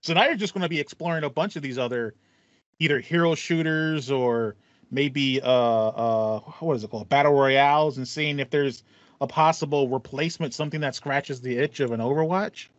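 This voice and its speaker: A man's voice: mid-range voice, normal speed, no echo. Guy hosting a gaming podcast, sympathetic, kinda outraged for his buddy, dry close sound, bit lo-fi. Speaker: podcast host. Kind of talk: casual podcast chat about gaming. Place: small room with acoustic foam, indoors. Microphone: headset on a video call. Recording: slightly dull, lo-fi online call.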